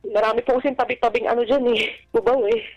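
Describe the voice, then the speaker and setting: A woman caller telling her personal story on a radio advice show in a polite, halting, earnest way. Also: fairly high pitch, steady tone, a little mellow. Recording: dull lo-fi phone-in line with music. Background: background music.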